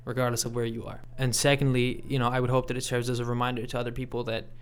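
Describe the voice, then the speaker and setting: Guy on a podcast, creaky voice, thinks out loud carefully, kinda halting. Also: mid-range voice, level tone. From Canada. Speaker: podcast guest, sports reporter. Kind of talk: reflective interview commentary.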